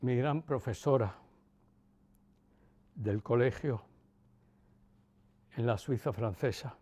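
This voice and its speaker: A man in his sixties with a Cuban accent softened by Castilian Spanish, reminiscing in an interview in a measured way, with pauses and emphatic repetition. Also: mid-range voice. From Cuba. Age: 60s, about 64. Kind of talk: reflective personal reminiscence.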